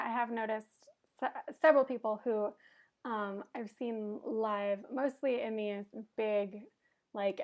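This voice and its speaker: A woman co-hosting a podcast in relaxed casual chat, with frequent "um"s. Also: mid-range voice. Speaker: podcast co-host.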